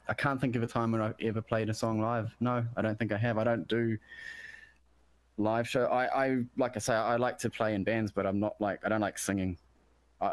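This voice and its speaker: Man, deep bass voice, low pitch, rambling and self-interrupting, dry close sound. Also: dry recording. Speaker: musician guest. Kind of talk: casual chat about music production.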